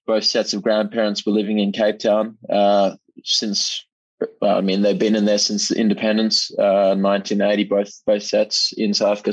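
A man's voice: lowish voice, dry recording, highs cut off. A young man, a rugby player, answering interview questions modestly and repeating words as he thinks, heard over a remote line. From Zimbabwe.

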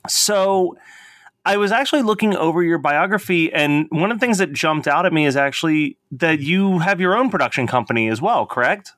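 A man's voice: on the high side, expressive, a little fast, a bit bright, dry recording. A man hosting a podcast interview, friendly and loose, chuckling and saying "like" often. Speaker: podcast host. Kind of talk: casual interview.

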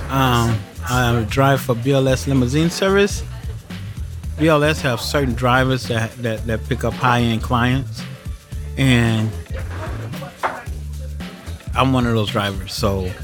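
A man's voice: medium pitch, measured pace, pauses often, music in the background. A limousine driver giving a casual account of his work in an interview.

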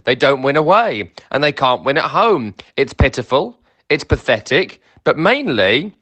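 A man's voice: a little high, sharp tone. A man giving an emphatic, exasperated football monologue in short punchy sentences, like a broadcaster who is also a fan.

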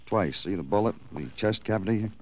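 A man's voice: fairly low pitch, animated, brisk, darkish tone, natural voice. An actor playing a weary police detective who narrates his case in a terse, hardboiled classic radio drama style. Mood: terse, matter-of-fact. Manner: terse, clipped, poetic when narrating. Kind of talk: 1940s-50s hardboiled radio drama acting. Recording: old radio recording with music.

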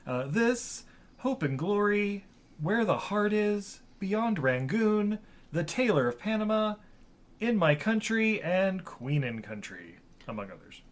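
A man's voice: sits high, very animated, slow. A young man hosting a casual movie podcast, running through cast and crew lists with lots of ums and laughter. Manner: laughing often, listing credits with filler ums. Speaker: podcast host.

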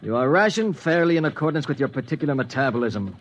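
An actor playing a humorless, curt government clerk who goes rigidly by the book, in old-time radio style. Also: male voice, mid-range voice, animated, quick pace, highs cut off, lightly acted. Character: brusque, humorless male bureaucratic clerk. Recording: old radio recording with faint noise.

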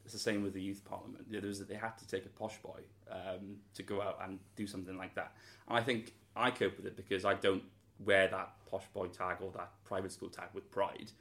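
Low, flat-voiced young man. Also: a little fast, gravelly. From Wales. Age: young adult. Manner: earnest, thoughtful, with frequent hedges and restarts. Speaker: interviewee, student. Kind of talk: interview answers.

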